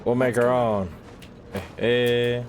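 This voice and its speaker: A man's voice: medium pitch, level tone, takes it slowly. A man doing casual, slangy gameplay commentary as a streamer, exclaiming and reacting aloud. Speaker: gaming streamer.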